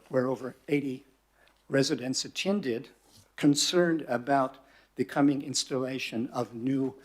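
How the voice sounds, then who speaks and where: A man's voice: mid-range voice, a little mellow. A man gives a prepared statement at a council public forum, speaking in a formal, earnest way. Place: council chamber.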